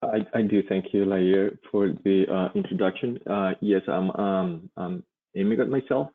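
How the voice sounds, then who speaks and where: Low-voiced Colombian man on a phone-like line. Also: steady tone, normal speed. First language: Spanish. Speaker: chamber vice president, presenter. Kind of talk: slide presentation at a virtual event. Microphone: online video call. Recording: muffled phone-like call audio.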